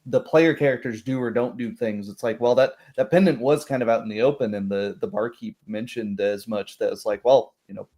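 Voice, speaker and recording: A man talking casually as a game master discussing a roleplay session, halting as he thinks aloud. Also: mid-range voice, steady tone, dark tone.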